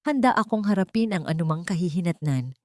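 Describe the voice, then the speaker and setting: A solemn, proud father weighed down by regret , played by a woman narrator. The voice is low and measured, recorded dry for an audio drama. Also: expressive, no room sound, natural voice. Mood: firm, resolute. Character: remorseful, proud wealthy father, resolute man.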